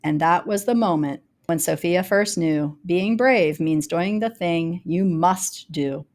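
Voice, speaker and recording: A woman reads a rhyming children's storybook aloud, telling it in a dramatic, deliberate way with varied emphasis. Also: fairly low pitch, a little mellow. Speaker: storybook reader. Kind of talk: expressive read-aloud of a rhyming children's picture book.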